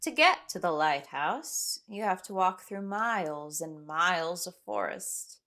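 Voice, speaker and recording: An actress playing a troubled young castaway woman, telling her story earnestly and with measured care in an audio drama. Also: lowish voice, animated, pushed and bright, exaggerated voice. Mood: reflective. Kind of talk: dramatic first-person audio drama narration.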